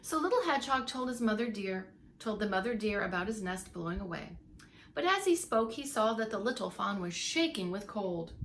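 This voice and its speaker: Bright, creaky female children's storyteller. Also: mid-range voice, lively intonation, moderate pace, slight background noise. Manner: dramatic emphasis, questioning asides to listeners. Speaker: preschool teacher. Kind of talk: expressive read-aloud storytelling for young children.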